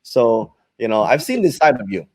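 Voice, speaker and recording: Male host, encouraging, filler-heavy questions, mid-pitched. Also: big pitch swings, normal speed, darkish tone. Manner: encouraging, many fillers like "you know" and "right". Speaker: interviewer host. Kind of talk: livestream interview.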